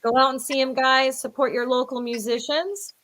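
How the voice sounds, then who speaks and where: A woman's voice: sits high, fairly even intonation, moderate pace, a bit bright. A woman from North Dakota co-hosting a casual live-stream chat, upbeat and chatty. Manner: chatty, upbeat, laughs often. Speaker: co-host.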